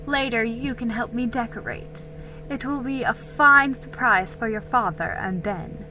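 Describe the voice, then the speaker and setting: Woman playing a bright, cheerful, fussing colonial mother. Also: on the high side, measured pace, a little hiss or hum, poor audio. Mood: brisk, cheerful.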